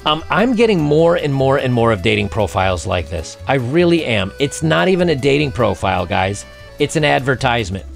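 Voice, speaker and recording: A man's voice: mid-range voice, very animated, a little fast, creaky, faint music behind. A middle-aged man hosting a casual advice monologue for men, speaking bluntly and conversationally. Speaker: host giving dating commentary and advice to men.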